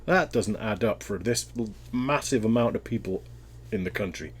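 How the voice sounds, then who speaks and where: A man with a Welsh accent and a fairly low voice, speaking in a loose, thoughtful way. He wanders through his answers and restarts his sentences often, the way people do in a casual interview. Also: normal speed, husky. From Wales.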